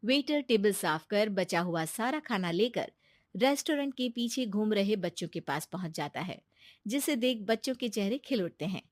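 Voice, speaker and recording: A woman narrating an animated moral story in a calm, even storytelling manner. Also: mid-range voice, moderate pace, no echo, clean studio sound.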